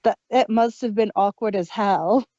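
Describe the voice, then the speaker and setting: A woman's voice: medium pitch, normal speed, warm tone, dry recording, muffled recording. A woman co-hosting an audio drama review podcast, talking in a thoughtful, earnest way. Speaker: podcast co-host. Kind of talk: casual review chat.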